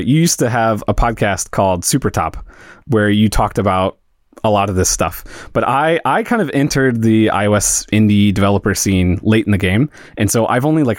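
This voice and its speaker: A man's voice: on the low side, no echo, clean studio sound. A man hosting a podcast interview, asking curious, loosely phrased questions full of fillers. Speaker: podcast host.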